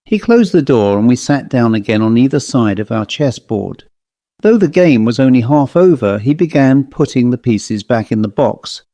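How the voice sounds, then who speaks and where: Male audiobook narrator for ghost fiction: mellow, measured, literary, recorded close and dry. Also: medium pitch, sing-song, no echo. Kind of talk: scripted narration of a ghost story.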